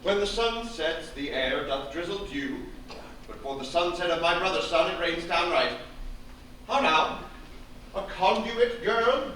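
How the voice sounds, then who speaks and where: An actor playing a stern, raging aristocratic father, swinging from low menace to shouted tirades in Shakespearean stage style. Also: animated, measured pace. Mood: stern, scornful. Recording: echoey stage from far off, faint noise.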